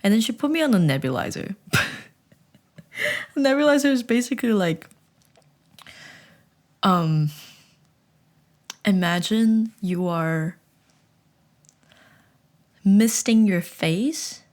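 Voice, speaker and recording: A woman's voice: mid-range voice, animated, slow, long pauses. A young woman vlogging in a casual, chatty way, telling a story with self-mocking humor and many restarts.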